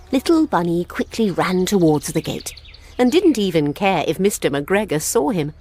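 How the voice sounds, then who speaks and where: Slow, lively low-voiced woman telling fairy tales. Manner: expressive, simple storybook delivery. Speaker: children's storyteller. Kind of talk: scripted fairy tale narration for toddlers.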